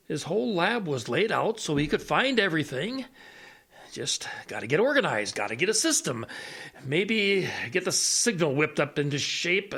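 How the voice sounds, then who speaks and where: A man playing a stubbornly hopeful older major, a blinded radio tinkerer, who talks in a halting, rambling way in an audio drama. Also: fairly high pitch, bright, forceful tone, heavily acted.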